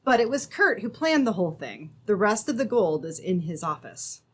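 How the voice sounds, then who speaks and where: A woman's voice: medium pitch, very animated, normal speed, slightly rough. A woman playing a plucky, earnest young captive in an old-time radio adventure, speaking emphatically.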